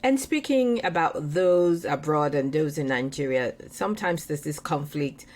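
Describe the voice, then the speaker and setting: A woman's voice: sits low, expressive, measured pace. A woman hosting an interview, asking questions and encouraging her guest with frequent short affirmations. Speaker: podcast host.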